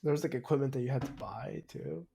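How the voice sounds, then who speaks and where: A man's voice: medium pitch, fast, gravelly, mellow, slight background noise. A young man co-hosting a podcast in casual chat, often repeating himself as he tells anecdotes. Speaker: podcast co-host.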